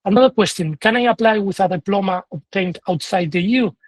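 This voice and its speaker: A man's voice: sits high, a little fast, no echo. A man from Spain speaking English with a Spanish accent, giving advice as an exam trainer in an online session, in short phrases with frequent fillers and restarts. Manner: earnest, advising tone.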